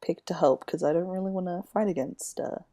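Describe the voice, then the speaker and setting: A woman's voice: lowish voice, fairly quick, warm tone. A woman casually commenting while playing a video game, chatty and thinking aloud. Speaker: gamer. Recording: commentary over game audio with music.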